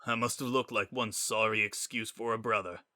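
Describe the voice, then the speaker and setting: A man voicing a wry, laid-back skeleton who hides his grief behind casual, halting, self-deprecating talk in a story reading. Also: lowish voice, steady tone, sharp tone. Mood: wry, embarrassed. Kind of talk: dramatic fan-fiction reading.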